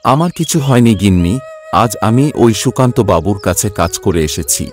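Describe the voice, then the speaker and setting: A man voices a poor, weary husband who works as a day labourer in a cartoon tale. His voice is fairly low, with a humble, plaintive manner. Faint music plays behind him. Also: lively intonation, a little fast, natural voice. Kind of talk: cartoon story voice acting.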